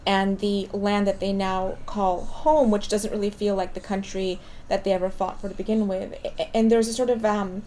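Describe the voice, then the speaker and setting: A woman's voice: medium pitch, flat delivery, normal speed, few pauses, mellow, a little hiss or hum. A woman hosting an interview, asking long, rambling questions. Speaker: interviewer, host.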